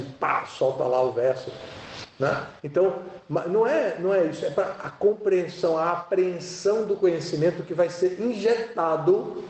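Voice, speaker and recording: A man with a fairly high, mellow voice teaches scripture in slow, measured phrases broken by pauses. He is heard from a distance in a strongly reverberant room. A faint hiss sits under the sound. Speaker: spiritual teacher. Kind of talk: lecture on scripture. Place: lecture space at a rural community. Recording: very echoey room, voice from far off, faint noise.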